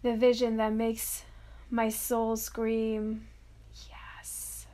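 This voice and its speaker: A woman guides a meditation in a fairly high voice. She speaks very slowly, in soft, drawn-out phrases with long pauses. Her intonation stays level and dreamy as she offers affirmations. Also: little pitch movement.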